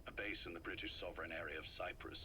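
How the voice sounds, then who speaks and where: An actor playing an ailing older male spymaster in a video game, speaking in a measured, formal, persuasive way. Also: low-pitched, fairly even intonation, sharp tone, slight background noise, poor audio. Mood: measured, secretive. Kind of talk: video game voice acting, recorded-message drama.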